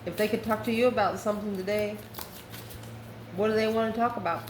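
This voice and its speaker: In an echoey room with clear background noise, a woman talks her way through a tarot reading as the host. She speaks at a middle pitch in a chatty, informal way, drifting into asides as she goes. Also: fairly even intonation, brisk. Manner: chatty, informal, chuckles often, rambling asides. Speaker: tarot reader and channel host. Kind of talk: casual, conversational tarot reading.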